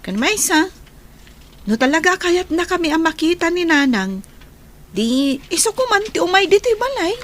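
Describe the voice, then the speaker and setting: A woman's voice: very high voice, very animated, normal speed, fairly bright tone, heavily acted. A young woman with an Ilocano accent playing a caring but firm daughter in an audio drama. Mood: reluctant. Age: young adult.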